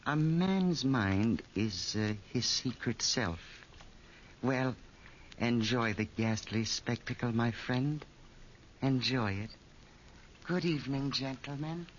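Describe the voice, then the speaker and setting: A man's voice: mid-range voice, slow, lightly acted. An actor playing a sinister fat man, a pompous connoisseur of murder who talks hesitantly and with relish, in 1940s radio style. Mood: coolly amused. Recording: muffled, hissy 1940s radio recording.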